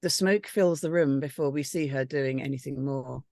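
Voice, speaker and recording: A woman's voice: extremely low pitch, normal speed, mellow, no echo, clean studio sound. A woman reading out screenplay stage directions as scripted narration.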